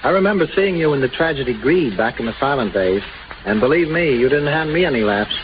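On a dull, noisy 1930s radio recording, a man with a medium-pitched voice hosts scripted banter. He puts questions and setups to his guest as the interviewer. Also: expressive, quick pace.

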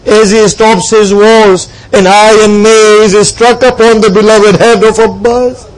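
A man's voice: sits high, little pitch movement, a little slow, rough recording. A man preaching a mournful devotional narrative in a solemn, impassioned, dramatic way, with plaintive cries. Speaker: preacher reciting a religious lament. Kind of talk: mournful devotional sermon storytelling.

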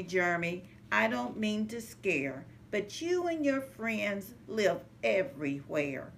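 Lively, slow-paced woman reading to children. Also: medium pitch, vocal fry. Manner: sing-song, measured rhyming delivery. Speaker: early childhood center manager reading to children. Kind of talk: animated children's picture-book read-aloud. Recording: noticeable background noise, home recording.

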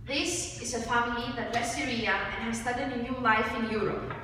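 A young woman from Cyprus giving a rehearsed student pitch, recorded at a distance in a large hall. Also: steady tone, normal speed, sharp tone. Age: young adult. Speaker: student presenter. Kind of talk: rehearsed group pitch presentation. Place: large hall with seated audience. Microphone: far audience camera. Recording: echoey hall from far off, faint noise.

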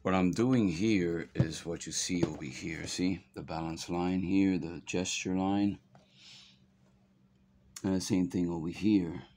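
A middle-aged man with a hoarse voice from a cold, giving a soft-spoken, halting drawing tutorial at his desk. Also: sits low, fairly even intonation, a little hiss or hum. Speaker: art instructor.

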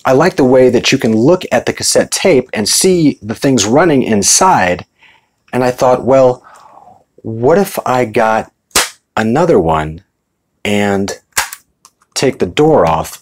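A man's voice: lowish voice, animated, takes it slowly. A middle-aged American man talking informally and conversationally in a casual show-and-tell vlog. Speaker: video host.

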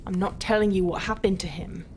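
A woman playing a proud, brooding, non-human pirate captain in a roleplay, guarded and often dropping into a half-whisper with hesitant pauses. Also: medium pitch, fairly even intonation, darkish tone, slight background noise, close to an everyday voice. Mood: wistful, grave. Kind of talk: roleplay ASMR acting.

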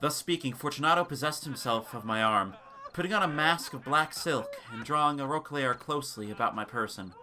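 A man giving a dramatic scripted narration of a gothic tale, with theatrical, deliberate phrasing. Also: medium pitch, bright, forceful tone, slight background noise.

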